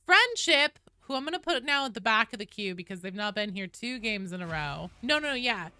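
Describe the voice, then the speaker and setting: A woman's voice: fairly high pitch, very animated, fairly quick, bright, forceful tone, smooth, no room sound. A woman chatting casually and expressively as she hosts a gaming livestream, reading out and answering her viewers. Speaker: live streamer, host.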